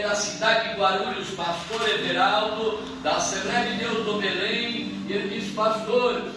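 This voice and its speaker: Man preacher, high voice, very echoey church, far from the mic, noisy, emphatic hallelujahs. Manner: jokey start, then rising emphatic preaching full of hallelujahs. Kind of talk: anniversary church sermon. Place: church hall. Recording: echoey church from afar with noise.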